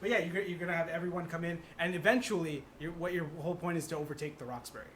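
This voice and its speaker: A man with a bright, forceful voice talks very quickly as a panelist. He pitches ideas casually and eagerly, over faint background noise. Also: fairly even intonation.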